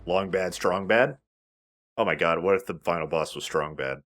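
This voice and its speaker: A man with a fairly low voice hosts a let's play over game music. He explains the play in a casual, conversational way and swears mildly now and then. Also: normal speed, fairly bright tone. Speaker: let's play host and player. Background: video game music.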